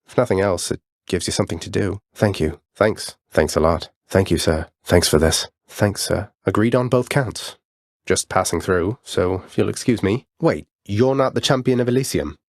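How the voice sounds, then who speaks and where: Young underworld prince, video game hero, low voice, polite, wry, determined, dry studio sound. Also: male voice, normal speed, no echo. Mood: sincere, encouraging. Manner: courteous, dry wit, often says sir. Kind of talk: scripted video game voice lines.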